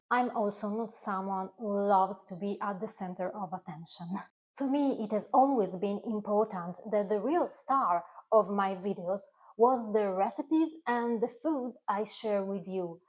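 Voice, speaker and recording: A woman from Italy whose first language is Italian, speaking English with an Italian accent straight to camera as the creator of a food channel, in an earnest, careful way with slightly halting phrasing. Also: mid-range voice.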